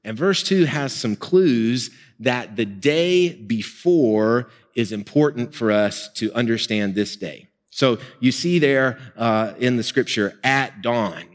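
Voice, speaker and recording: A man's voice: medium pitch, takes it slowly, few pauses. A male pastor, preaching in a conversational, earnest way with small laughs. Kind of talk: sermon and prayer. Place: church sanctuary. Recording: music and long hall reverb.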